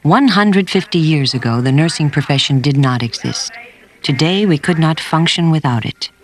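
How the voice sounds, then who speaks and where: Higher-pitched male documentary narrator. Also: animated, moderate pace.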